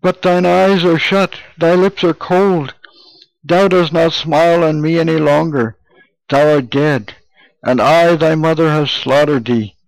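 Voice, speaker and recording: A man's voice: on the high side, slow, no room sound, low-quality recording. A man reading aloud as a grieving drunken mother, pleading in old-fashioned speech. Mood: anguished. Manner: wailing, pleading, archaic speech. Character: grieving drunken mother, anguished, self-accusing.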